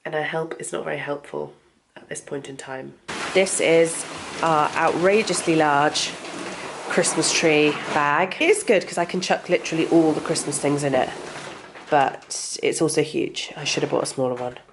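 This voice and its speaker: Congested woman with a low voice. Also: steady tone, moderate pace. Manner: rambling, conversational, talks while cooking. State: congested with a cold. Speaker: vlogger. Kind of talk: casual chatty vlog. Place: home kitchen. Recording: echoey room, faint noise.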